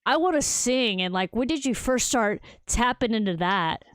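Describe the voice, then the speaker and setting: A woman hosting a casual interview over a video call. She talks in a bubbly, excited way, laughs often and says "dude" and "like" a lot. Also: a little high, normal speed, clean tone, no echo, studio quality. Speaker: show host.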